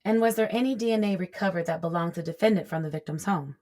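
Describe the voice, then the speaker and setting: A woman acts as a tough female prosecutor in a courtroom drama. Her voice sits a little low. She is cool and formal, measuring out each question. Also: quick pace, darkish tone, natural voice. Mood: businesslike. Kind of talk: courtroom audio drama acting.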